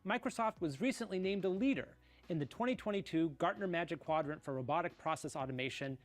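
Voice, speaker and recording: A man giving a scripted conference presentation as a product executive, polished and even. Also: on the high side, fast, soft background music.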